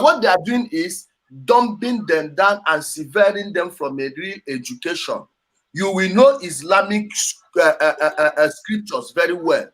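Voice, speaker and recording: Nigerian guy hosting a political talk show, high raspy voice, hammers his points. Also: rough recording. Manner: forceful, emphatic, repeats phrases. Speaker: host. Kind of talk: heated political discussion.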